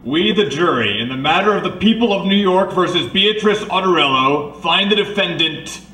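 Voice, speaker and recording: A man's voice: high-pitched, animated, normal speed. A man plays a solemn jury foreman, speaking formally as in a courtroom radio drama. The sound is echoey and slightly distant, with faint noise.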